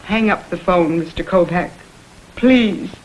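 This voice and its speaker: An actress playing a guarded, anxious institution director in an old crime drama, speaking formally and earnestly. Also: female voice, on the low side, measured pace, natural voice. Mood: pleading. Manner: formal, earnest, careful wording. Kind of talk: vintage American television crime drama acting. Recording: faintly noisy vintage film soundtrack.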